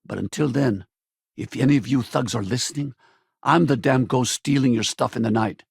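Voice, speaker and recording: A gruff, easygoing middle-aged space veteran for a video game. His voice is heavily gravelled and rough-edged, recorded close and dry. He talks in a folksy, wry, casual way, like a dad with some regrets. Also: medium pitch, moderate pace, no room sound. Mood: matter-of-fact. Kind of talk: video game companion dialogue.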